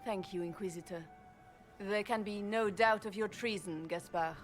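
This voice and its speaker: An actress playing a cold, commanding empress in a video game, speaking in a stately, formal way. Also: female voice, mid-range voice, steady tone, moderate pace, soft background music, lightly acted. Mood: stern. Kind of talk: video game cutscene acting.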